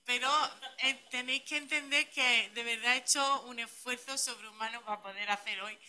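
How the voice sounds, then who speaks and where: Woman, helping out at a conference, high bright voice, light and reassuring. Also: fairly even intonation, normal speed. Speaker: language helper at a conference. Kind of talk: informal remarks to a conference audience.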